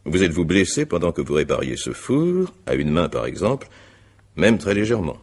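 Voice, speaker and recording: A methodical, courteous but insistent magistrate in a radio mystery. His voice is extremely deep and husky, with lively swings of intonation. The phrasing is formal and deliberate. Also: exaggerated voice. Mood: methodical, probing. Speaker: examining magistrate. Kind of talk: French radio mystery drama acting.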